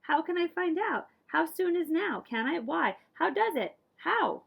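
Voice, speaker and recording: A woman voicing a curious, excitable little girl in a storybook, firing off short questions and exclamations. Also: very high-pitched, a little slow, creaky, voice pushed up, exaggerated voice. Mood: inquisitive.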